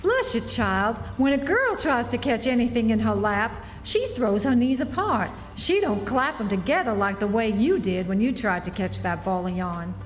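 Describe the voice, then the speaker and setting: Animated folksy Southern townswoman played by a woman. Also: fairly high pitch, normal speed, few pauses, close to an everyday voice. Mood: knowing, kindly. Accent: American Southern. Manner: folksy, emphatic. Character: shrewd, motherly frontier townswoman, chatty and prying. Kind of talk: live radio drama acting. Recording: echoey hall, music behind.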